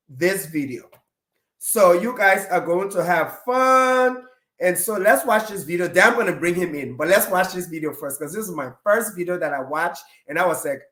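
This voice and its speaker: A man's voice: high voice, lively intonation, moderate pace, pushed and bright. A male talk show host with a nasal, congested voice, opening the show in an upbeat, repetitive way. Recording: roomy echo.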